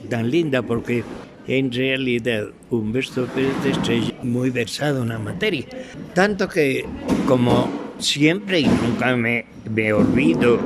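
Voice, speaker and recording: An older man with an Ecuadorian Spanish accent, reminiscing in an interview in a halting, deliberate way with pauses and repetitions. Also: mid-range voice, background noise. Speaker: interviewee, veteran radio drama actor.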